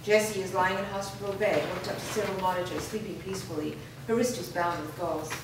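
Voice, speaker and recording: Woman reading script directions, lowish voice, far from mic, big echoey room. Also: steady tone, fast talker. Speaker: narrator reading stage directions. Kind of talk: scripted narration at a staged screenplay reading. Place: live staged reading in a reverberant room. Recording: distant, very echoey room, background noise.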